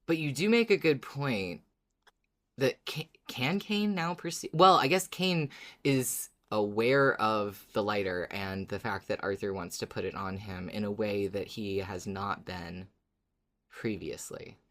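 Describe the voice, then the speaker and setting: An androgynous-sounding podcast co-host speaks in a middling pitch. The delivery is casual and conversational, whether trading fan theories or reading listener mail aloud. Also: a little slow, fairly bright tone.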